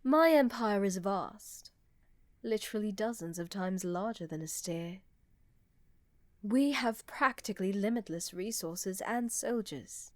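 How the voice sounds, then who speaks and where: A woman playing a smug, condescending older empress in a fantasy audio roleplay, with measured, deliberate delivery. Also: medium pitch, animated, no echo, close to an everyday voice. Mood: proud, persuasive. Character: haughty, older, condescending female ruler of a vast empire.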